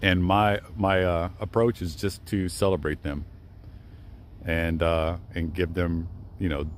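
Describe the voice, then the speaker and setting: A deep-voiced young man records a casual travel vlog outdoors in a cemetery. He rambles with plenty of fillers and restarts, keeping his tone hushed and respectful. Faint outdoor noise sits behind the camera-mic sound. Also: flat delivery, unhurried. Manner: rambling, with many fillers and self-corrections. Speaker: travel vlogger. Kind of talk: casual vlog monologue at a graveside.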